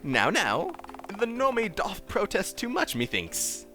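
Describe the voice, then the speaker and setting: A man's voice: high-pitched, huge pitch swings, measured pace, bright, forceful tone, faint music behind, voice pushed up, full character voice. Man putting on a pompous, theatrical villain. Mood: condescending. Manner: florid, theatrical, archaic wording. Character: pompous, grandiloquent male mustachioed villain.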